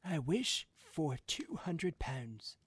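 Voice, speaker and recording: Husky, agitated old father, as a male reader plays him. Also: on the high side, sing-song, very slow, a bit bright, no room sound, voice pushed up, full character voice. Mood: alarmed. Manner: agitated, insistent. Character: excitable, stubborn old father startled by a talisman. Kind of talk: audiobook character voice.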